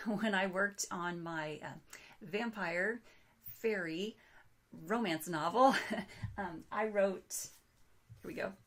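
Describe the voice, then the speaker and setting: Weak-voiced woman author presenting. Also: medium pitch. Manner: chatty, self-deprecating, with fillers. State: losing her voice, weak. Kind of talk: casual recorded presentation.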